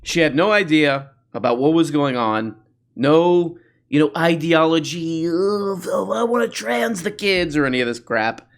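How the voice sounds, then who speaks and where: A man's voice: on the high side, expressive, measured pace, vocal fry. A man hosting a comedy show, mocking clips in a rambling, self-interrupting way while losing his voice. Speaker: host.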